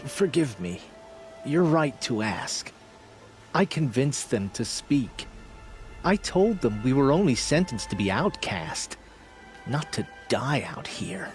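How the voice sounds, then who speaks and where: An actor playing a humble, remorseful outcast tribesman in a video game, speaking in an earnest, halting, solemn way. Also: medium pitch, very animated, faint music behind. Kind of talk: video game character acting.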